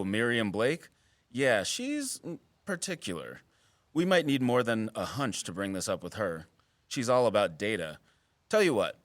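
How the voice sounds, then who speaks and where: An actor playing a wry, guarded wildlife biologist in an audio drama, with teasing, dry sarcasm and an earnest streak. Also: male voice, medium pitch, a bit bright, dry recording. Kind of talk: naturalistic audio drama acting.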